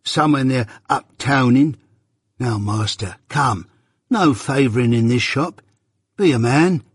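Surly journeyman smith for an audiobook, male reader: gruff growl, rustic dialect, grudging, jealous, spoiling for a fight. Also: medium pitch, takes it slowly, dry recording, studio quality, in a large hall. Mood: sullen.

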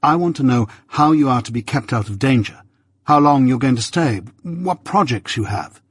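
A man reading an audiobook voices an anxious young gentleman who speaks in proper, strained tones. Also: medium pitch, lively intonation, moderate pace, slightly rough, no echo, clean studio sound. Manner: proper educated speech, strained. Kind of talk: audiobook character voice.

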